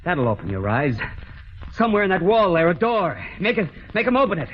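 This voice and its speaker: A man's voice: high-pitched, sing-song, a little fast. An actor playing an earnest, trapped young man in a 1940s radio drama, often breaking off mid-sentence. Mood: frantic, indignant. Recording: muffled vintage radio, music behind.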